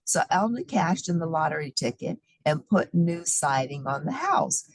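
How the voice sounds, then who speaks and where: Breathy woman reading a picture book aloud. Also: low-pitched, no room sound, rough recording. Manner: expressive, with playful asides. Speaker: storybook reader.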